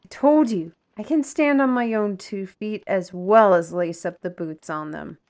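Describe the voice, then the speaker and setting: A woman playing a proud, fiery, defiant artisan steward in a fantasy audio roleplay, formal and deliberate. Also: medium pitch, a little mellow, lightly acted. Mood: firm.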